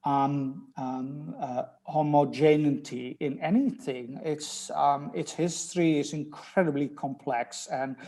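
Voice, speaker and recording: A man from the Netherlands with a Dutch accent, speaking as a research guest in a thoughtful academic conversation, pausing often with ums and restarts. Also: a little high, fairly even intonation, warm tone.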